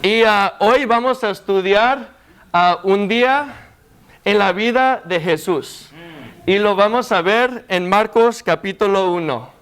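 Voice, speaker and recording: A young American man with English as his first language, preaching in a church in halting, unhurried speech full of fillers and restarts. His voice sits high and comes across smooth and clear. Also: fairly bright tone. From United States. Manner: hesitant, many fillers and restarts. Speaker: guest preacher. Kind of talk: sermon in second language.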